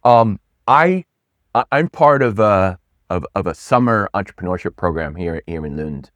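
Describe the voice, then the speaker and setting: A middle-aged man, a pitch coach, talking casually in an interview, animated, often restarting and repeating words. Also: moderate pace, dry recording. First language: not Swedish; English speaker.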